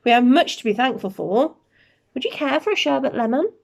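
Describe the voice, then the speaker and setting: Lady doing a kindly old wizard man from a storybook, calm n polite, measured, voice on the high side. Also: vocal fry, full character voice. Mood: genial. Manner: courteous, measured. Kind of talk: storybook character reading.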